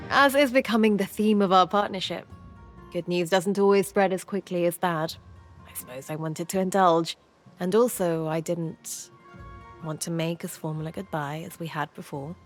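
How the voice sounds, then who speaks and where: An actress playing a confident, gracious alchemist in a game, speaking in a measured way. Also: female voice, mid-range voice, close to an everyday voice. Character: female alchemist, confident and poised. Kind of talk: video game cutscene acting.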